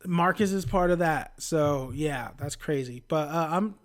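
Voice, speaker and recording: A man's voice: a little high, measured pace. A man casually reviewing a TV episode, rambling with many fillers, often losing his train of thought, upbeat and chatty.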